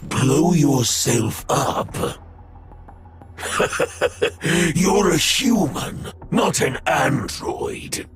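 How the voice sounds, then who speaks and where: An actor playing a menacing machine villain in a video game, mocking and condescending toward humans. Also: male voice, fairly high pitch, lively intonation, drawn-out words, creaky, long pauses, soft background music, exaggerated voice. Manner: taunting, condescending. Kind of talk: dramatic video game voice acting.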